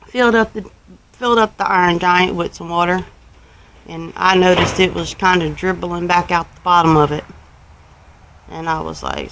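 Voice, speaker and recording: A woman with a Southern US accent telling a story casually, in a folksy, unhurried, colloquial way, as a homestead vlogger. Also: lowish voice, a bit bright, poor audio. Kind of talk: casual first-person storytelling.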